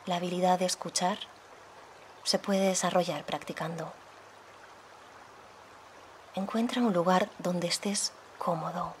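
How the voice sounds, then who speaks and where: A woman leading a guided meditation as a yoga guide, speaking softly and soothingly, unhurried, with pauses between phrases. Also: on the low side, steady tone, slight background noise. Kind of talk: guided meditation, slow calm instruction.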